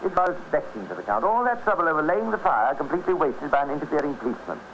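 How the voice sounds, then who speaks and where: An actor narrating a vintage radio crime drama as a wry, urbane storyteller with dry irony. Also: male voice, a little high, sing-song, racing, a bit bright. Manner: dry, ironic. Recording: noisy old radio recording.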